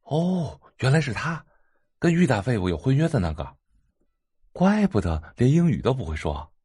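A woman's voice: very deep voice, sing-song, moderate pace, warm tone, breathy edge, pitched below the performer's own voice, full character voice. A woman voicing a crude teenage boy who gossips about a new classmate. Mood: gossiping.

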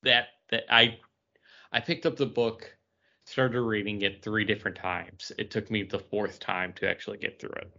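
A man's voice: on the low side, steady tone, normal speed, vocal fry, sharp tone, muffled recording. A man co-hosting a podcast, talking casually and giving his opinions on what he reviews. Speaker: podcast co-host reviewing comic books.

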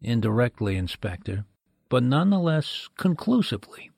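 An actor playing a measured, formal medical examiner who explains precisely, in a 1940s-style radio drama. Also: male voice, mid-range voice, sing-song, creaky, darkish tone, dry recording, clean studio sound. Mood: precise, authoritative.

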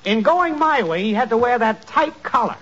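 An actor playing a chummy, smooth-talking salesman with a slick, persuasive manner in a 1940s radio comedy. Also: very high-pitched, expressive, moderate pace, a bit bright, full character voice. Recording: muffled 1940s radio recording.

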